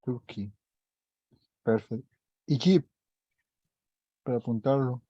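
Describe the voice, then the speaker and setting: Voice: medium pitch, slow, warm tone, no room sound, dull audio. An adult student in an online English lesson, curious and eager, asking questions and repeating words to practise.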